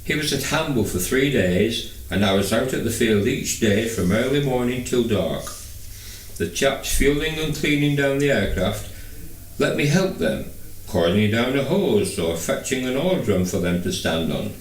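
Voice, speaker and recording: A man's voice: medium pitch, animated, unhurried, slight background noise. A man reading a first-person novel aloud as scripted narration. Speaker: audiobook reader. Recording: echoey room, distant microphone.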